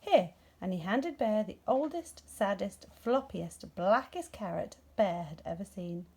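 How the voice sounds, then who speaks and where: Expressive, mellow woman reading a story. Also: mid-range voice, a little slow, breathy edge. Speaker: storybook reader. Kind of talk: scripted children's story narration.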